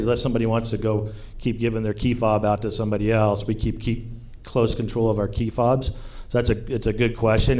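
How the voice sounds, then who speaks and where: A man representing a developer answers questions at a public meeting in a measured, matter-of-fact way. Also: on the low side, a little mellow. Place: school community meeting room.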